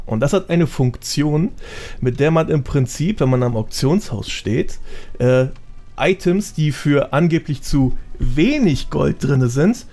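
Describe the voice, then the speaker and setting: A man's voice: a little high, a little mellow. A man talking casually as a podcast guest, explaining things in a chatty, self-deprecating way.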